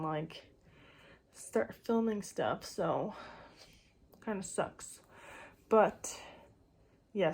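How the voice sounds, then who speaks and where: Young female vlogger, impromptu and loose delivery, mid pitch, faint rasp, meandering sentences full of "like". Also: a little mellow. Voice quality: slightly raspy. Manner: rambling, filler-heavy with frequent "like". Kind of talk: casual impromptu chat to camera. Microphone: phone camera.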